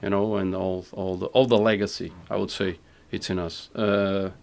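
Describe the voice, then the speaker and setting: Portuguese man with gravelly voice. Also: low voice, little pitch movement. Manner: halting, repeats words while searching for English. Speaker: podcast host. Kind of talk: casual interview chat.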